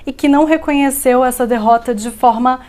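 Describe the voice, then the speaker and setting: A woman's voice: high voice, fairly quick, dark tone. Persistent Brazilian woman journalist. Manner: persistent, formal questioning. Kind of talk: interview questions.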